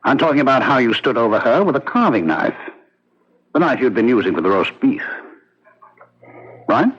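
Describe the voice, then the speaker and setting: A man's voice: medium pitch, big pitch swings, moderate pace, a bit bright, slightly rough, muffled recording. A man playing a mocking, menacing condemned prisoner in a 1970s radio drama, needling and insistent. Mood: taunting, insistent. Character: menacing, mocking male condemned prisoner claiming to be a killer's spirit. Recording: noisy, band-limited old radio recording.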